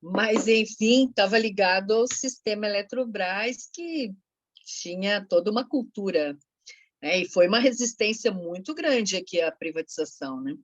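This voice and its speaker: A woman's voice: mid-range voice, animated, moderate pace, no room sound. Woman moderator on a dull, lo-fi line. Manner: engaged, prompting questions. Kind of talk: online panel talk.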